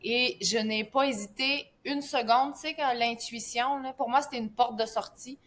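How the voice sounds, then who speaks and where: A woman's voice: a little high, steady tone, moderate pace, slight background noise. A woman from southern France, a guest health coach, telling her story in a casual podcast, with frequent laughs and fillers. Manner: warm storytelling, laughs often, many fillers.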